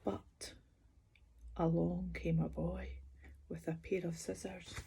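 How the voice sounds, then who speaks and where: A woman reading a children's picture book aloud as a bedtime storyteller, expressive and sing-song, putting questions to young listeners. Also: lowish voice, takes it slowly, creaky, slight background noise.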